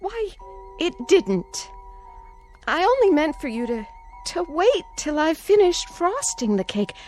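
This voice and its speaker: An actress playing an anxious, doting aunt in a radio drama, sweetly coaxing and nervously repeating herself. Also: female voice, very high voice, very animated, slow pace, a little mellow, faint music behind, heavily acted. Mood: flustered. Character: anxious, doting aunt placating a feared nephew.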